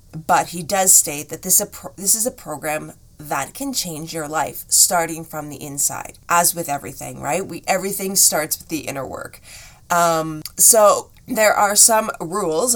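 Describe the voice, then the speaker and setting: A woman hosting a solo podcast, chatting in an encouraging way, her voice a bit rough today. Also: on the low side. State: slightly rough voice. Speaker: podcast host.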